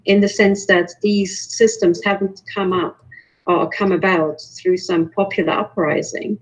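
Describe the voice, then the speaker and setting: A woman's voice: on the low side, husky, muffled recording. A woman from Romania, a guest on a video call roundtable who talks earnestly and often repeats words as she starts a sentence. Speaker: panel guest. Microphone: video call microphone.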